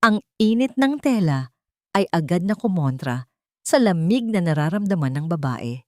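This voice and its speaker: A woman narrating a scripted romantic drama in a measured, sentimental storytelling manner. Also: fairly low pitch, very animated, no echo, studio quality.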